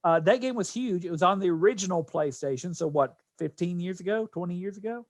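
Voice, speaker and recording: A man's voice: high voice, a little fast, no echo, studio quality. A man co-hosting a podcast, chatting casually about games and films in an enthusiastic, emphatic way. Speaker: podcast co-host.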